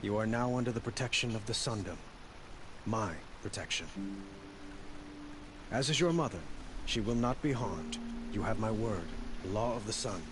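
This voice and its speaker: Man voicing a low-voiced, gracious sun king. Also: background noise, natural voice. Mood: solemn, reassuring. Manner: formal, measured phrases. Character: benevolent, stately sun king, formal and gracious. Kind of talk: video game voice acting. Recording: distant game audio under music. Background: music.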